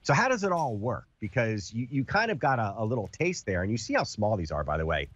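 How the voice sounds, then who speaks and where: A man's voice: on the low side, sing-song, quick pace, breathy edge, dry recording, dull audio. A man with a cold, sounding congested, hosting a casual product demo and explaining with everyday comparisons. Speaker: TV host demonstrating a product.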